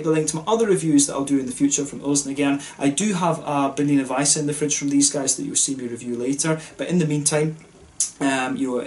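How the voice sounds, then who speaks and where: A man hosting his beer review channel, chatting casually to camera, repeating words and trailing off with fillers. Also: medium pitch. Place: Sweden.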